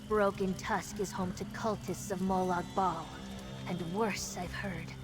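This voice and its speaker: A woman's voice: mid-range voice, fairly even intonation, a little slow, close to an everyday voice. A woman voicing an earnest, reflective reptilian tribeswoman, a freed slave, in a video game. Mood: wary. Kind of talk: video game character dialogue. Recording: game music under the voice.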